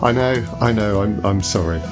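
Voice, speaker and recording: A man's voice: lowish voice, normal speed, music in the background. A man hosting a podcast in a conversational style. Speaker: podcast host.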